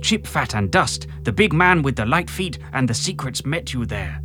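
A man's voice: mid-range voice, sing-song, moderate pace, music in the background, close to an everyday voice. A man voicing an eager, nosy male pet dog, chattering breathlessly.

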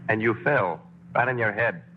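A man's voice: fairly low pitch, moderate pace, a little hiss or hum, close to an everyday voice. An actor playing a brash, joking vaudeville father in 1940s radio acting. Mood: wry. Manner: wisecracking, lively. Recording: muffled old radio broadcast.

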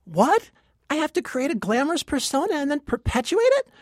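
A woman's voice: high-pitched, big pitch swings, brisk, dry recording, clean studio sound. A woman acting as a naive, perky teenage girl singer in a parody radio play.

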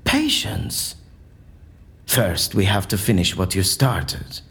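An actor playing a cunning captive man in an audio drama, speaking in a deliberate, mysterious, theatrical manner. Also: sits low, huge pitch swings, vocal fry, a bit bright, exaggerated voice. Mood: bargaining, assured.

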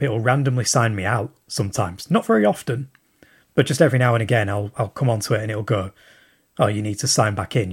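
A man with a husky, slightly breathy voice leaves a long recorded message for a show. He thinks aloud as he goes, circling back with plenty of ums. His voice sits at an ordinary middle pitch. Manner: rambling, thinking aloud with many fillers. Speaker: listener sending in a question.

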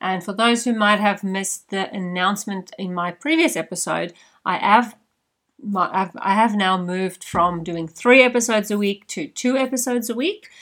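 A woman's voice: mid-range voice, fairly bright tone. A woman podcast host whose first language is Afrikaans, talking earnestly and personally to her listeners in a casual monologue.

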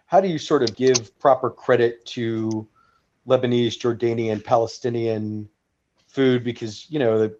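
A man's voice: medium pitch, steady tone, unhurried, a little mellow. A man hosting a podcast interview, asking questions in a hedging way with frequent "sort of". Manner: hedging, says "sort of" often. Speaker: podcast host. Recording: dull lo-fi sound.

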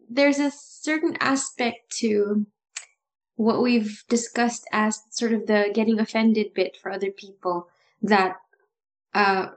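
A woman co-hosting a casual talk about improv, animated and often restarting her sentences with a laugh. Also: mid-range voice. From Philippines. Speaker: improv podcast co-host. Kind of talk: casual chat.

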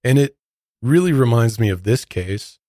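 A man's voice: medium pitch, lively intonation, moderate pace, warm tone, dry recording, studio quality. An American man co-hosting a true crime podcast, giving his opinions in a casual, conversational way. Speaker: podcast co-host.

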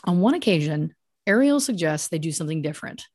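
Woman podcast storyteller, lower-pitched, dry recording. Mock-serious, emphatic, chatty true-crime delivery with asides. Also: big pitch swings, quick pace, darkish tone, no room sound. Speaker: podcast host telling a story. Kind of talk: conversational satirical true crime retelling.

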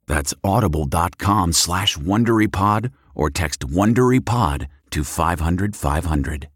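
A middle-aged man reading a scripted ad in a smooth, promotional way. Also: low-pitched, animated, unhurried, fairly bright tone, no echo, clean studio sound. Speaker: ad announcer. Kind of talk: scripted ad read.

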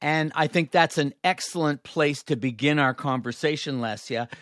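A man hosts a health interview in a friendly, conversational way. His voice sits a little high for a man, and the recording is dry and close to the mic. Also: normal speed, a bit bright, no echo. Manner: friendly, conversational, self-deprecating. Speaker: host, emergency physician. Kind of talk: interview hosting.

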